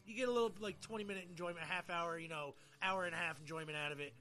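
A man's voice: fairly high pitch, steady tone, rapid-fire, pushed and bright, faint music behind. A man hosting a podcast in casual, colloquial, slangy chat. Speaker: podcast host.

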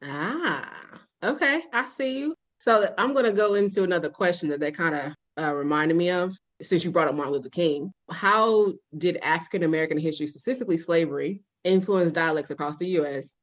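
A young woman hosting a casual interview podcast, playful and quick to laugh. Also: on the low side. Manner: laughs often, playful asides. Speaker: podcast host.

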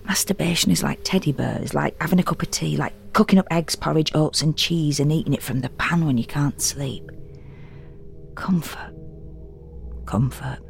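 Low female voice, gravelly creak, fretful, worn-out narrator, intimate and wry, audio drama monologue. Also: moderate pace, a little hiss or hum. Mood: intimate, confessional. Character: exhausted, fretful, confessional woman homeowner narrating her unease. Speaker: narrator and actor.

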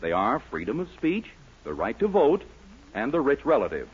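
A man's voice: medium pitch, wildly swooping pitch, moderate pace, slightly rough. An American man announcing on 1940s radio in a polished, emphatic salesman's style. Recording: muffled vintage 1940s radio broadcast.

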